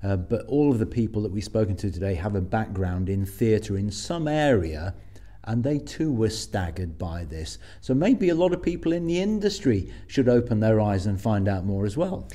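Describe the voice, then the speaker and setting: A man's voice: on the low side, animated, moderate pace, mellow. A man hosting a podcast, interviewing guests in a conversational style.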